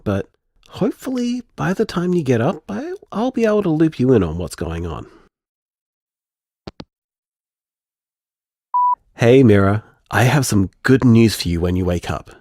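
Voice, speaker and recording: A man acting an excitable, anxious young matchmaker leaving chatty, dramatic voicemails in an audio drama. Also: mid-range voice, moderate pace, no room sound, clean studio sound. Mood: upbeat.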